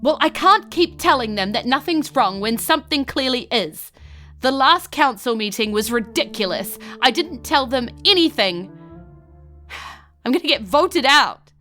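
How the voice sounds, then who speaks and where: Woman playing an agitated, high-pitched pleading councillor. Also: expressive, measured pace, pushed and bright, faint music behind, heavily acted. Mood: anxious pleading. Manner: agitated, sighing. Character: anxious male council member, pleading and frustrated.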